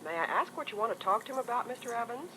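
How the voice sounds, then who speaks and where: Woman playing a quick, businesslike secretary, noisy tape. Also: a little high, animated, sharp tone. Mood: brisk, guarded. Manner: businesslike, courteous. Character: brisk, polite female secretary screening calls. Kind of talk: acted training-record role-play. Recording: noisy, distant old tape phone role-play.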